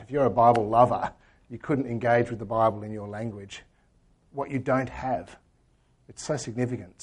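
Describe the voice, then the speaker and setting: A man giving an earnest church talk, hoarse today and often trailing off quietly at the ends of sentences. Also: medium pitch. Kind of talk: talk with book reading.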